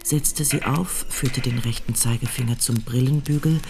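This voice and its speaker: A man's voice: medium pitch, steady tone, normal speed, noisy background. A man narrating a scripted radio drama as its storyteller.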